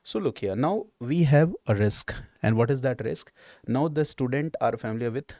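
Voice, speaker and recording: A man's voice: medium pitch, lively intonation, moderate pace, dark tone, no echo, studio quality. A man with an Indian accent teaching exam technique in an emphatic, repetitive way, punctuating his explanation with check-in questions.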